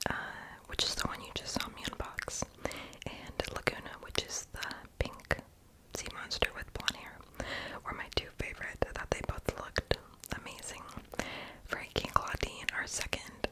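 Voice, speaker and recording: A young adult speaking entirely in a breathy, unvoiced whisper, rambling casually and self-deprecatingly in an ASMR voiceover, with soft mouth sounds. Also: moderate pace, low-quality recording. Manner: mouth sounds, lip smacking. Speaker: ASMR video creator.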